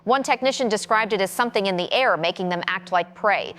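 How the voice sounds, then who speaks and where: A woman's voice: mid-range voice, a little fast, fairly bright tone, close to an everyday voice. Businesslike actress as a female field reporter. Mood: serious, businesslike. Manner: formal, factual. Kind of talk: scripted news report in audio drama. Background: music.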